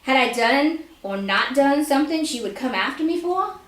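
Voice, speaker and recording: A woman reading a story aloud at a live indoor reading, narrating expressively and deliberately. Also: high-pitched, animated. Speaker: author reading own fiction aloud. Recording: echoey room live reading.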